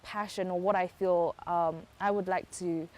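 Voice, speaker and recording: A woman's voice: on the low side, fairly even intonation, measured pace, a little mellow. A young woman from Kenya, a law student, giving thoughtful, earnest interview answers with frequent ums.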